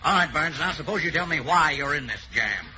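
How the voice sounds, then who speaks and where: A man playing a brisk, interrogating police lieutenant in a 1940s radio comedy. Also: on the high side, expressive. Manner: brisk interrogating, breaking into laughs. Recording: muffled old radio broadcast with heavy hiss.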